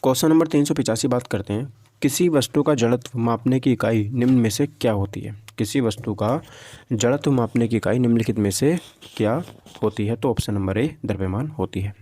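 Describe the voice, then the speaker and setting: A man's voice: medium pitch, warm tone, no echo. A man teaching physics for exam preparation in an informal lecture style, reading out questions and explaining them, often repeating himself and checking that listeners follow.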